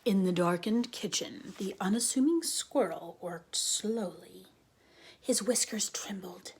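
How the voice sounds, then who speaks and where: A woman reading a children's book aloud as a dramatic storyteller, often dropping into a breathy hush. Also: mid-range voice, slow pace. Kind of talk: expressive children's book narration.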